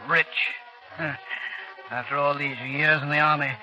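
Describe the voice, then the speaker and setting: Nervous, higher-voiced army doctor. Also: male voice, flat delivery, takes it slowly. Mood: uneasy. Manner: hesitant, uneasy. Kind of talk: 1930s radio acting. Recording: muffled vintage radio recording with music.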